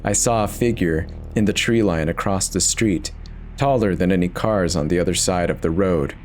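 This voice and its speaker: A man's voice: sits low, normal speed, a little mellow, a little hiss or hum. A man giving an intense, theatrical first-person reading of a horror story as its narrator.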